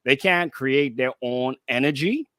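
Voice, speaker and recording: A man's voice: mid-range voice, measured pace, pushed and bright, no room sound, clean studio sound. A man with a Bahamian accent co-hosting radio, explaining things casually and conversationally and often repeating himself. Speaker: radio co-host and DJ.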